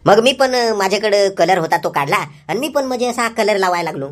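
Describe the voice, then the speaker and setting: A man's voice: high-pitched, expressive, brisk. A man voicing a battered, sheepish young village man in a comic cartoon, rambling and repeating himself in a rural Palghar Marathi accent. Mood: sheepish recounting. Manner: rambling, repetitive storytelling. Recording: loud music behind the voice.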